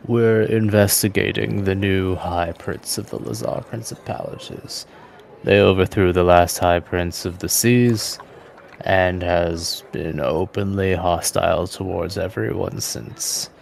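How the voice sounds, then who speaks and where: A man's voice: deep voice, steady tone, measured pace, gravelly, a little hiss or hum. A man playing a weary, guarded male adventurer in a tabletop game, speaking in a reticent, matter-of-fact way. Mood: guarded, serious. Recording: voice over prominent background music.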